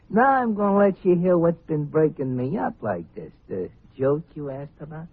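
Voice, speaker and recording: A man's voice: on the high side, breathy edge, full character voice. An actor playing a squat, gloating gunman who poses as a shoe shiner, taunting in slangy tough talk in an old radio crime drama. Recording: muffled phone-like vintage radio recording.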